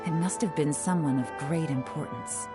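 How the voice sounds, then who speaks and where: A woman playing a cool, self-assured female secret agent in a video game, measured and knowing. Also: sits low, loud music behind the voice, lightly acted. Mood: pensive.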